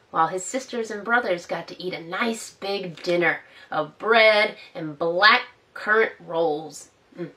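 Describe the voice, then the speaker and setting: A woman librarian reads a storybook aloud for an online story time, gentle and expressive. She stops now and then to ask the children questions. The room is roomy and echoey, and she sits a little back from the mic. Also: mid-range voice, recorded from a distance. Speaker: school librarian. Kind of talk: reading a picture book aloud to children.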